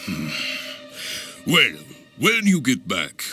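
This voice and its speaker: An actor playing an exuberant adventurers' guild examiner in a video game, speaking in a theatrical, emphatic way. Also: male voice, mid-range voice, wildly swooping pitch, extremely slow, bright, forceful tone, slightly rough, faint music behind, exaggerated voice. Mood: flustered, puzzled. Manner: theatrical, emphatic, grandly encouraging.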